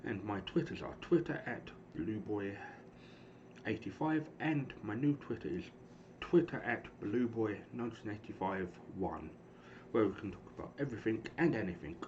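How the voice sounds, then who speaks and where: A hoarse man in his thirties casually calling the action of a wrestling game he is streaming, rambling and clearing his throat often. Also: lowish voice, lively intonation, normal speed, a little mellow. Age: 30s. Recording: dull lo-fi stream audio with clear noise.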